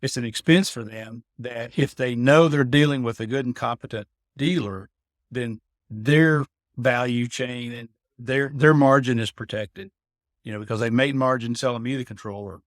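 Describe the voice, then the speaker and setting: A man's voice: mid-range voice, normal speed, no room sound, studio quality. Man explaining with frequent word repeats. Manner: repeats words as he thinks, often asks "you know what I mean?". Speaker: guest, building automation integrator veteran. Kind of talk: casual explanatory conversation.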